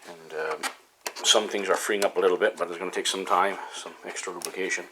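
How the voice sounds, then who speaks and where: A man giving a casual hands-on repair demonstration, talking haltingly with frequent pauses mid-sentence. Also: deep voice, fairly even intonation, noisy background. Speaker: hobbyist host of a repair video series.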